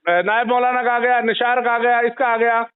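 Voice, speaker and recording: A man's voice: very high voice, little pitch movement, moderate pace, clean tone. A man speaking insistently and moralising in a confrontational phone conversation, holding the floor. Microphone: phone line. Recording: narrow tinny phone call recording.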